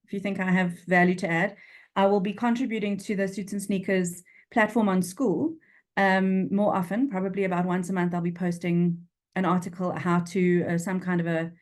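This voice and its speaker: A woman's voice: fairly low pitch, fairly even intonation, normal speed. A woman in her forties with a Durban South African accent, chatting frankly in an interview over a video call, often restarting her sentences. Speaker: freelance copywriter, guest. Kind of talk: casual interview chat.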